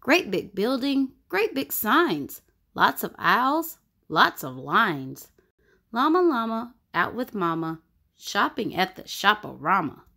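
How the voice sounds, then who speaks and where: A woman reading a rhyming picture book aloud for children in an expressive, sing-song way. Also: fairly high pitch, slow, gravelly. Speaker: children's story reader. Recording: music bed under the voice. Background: background music.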